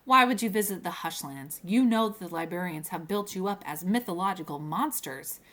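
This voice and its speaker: A woman's voice: fairly low pitch, lively intonation, a little fast, fairly bright tone. A woman reads the part of a young storybook heroine who is bossy and scornful. She talks curtly and dismissively. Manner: curt, dismissive. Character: curt, bossy, scornful young female companion.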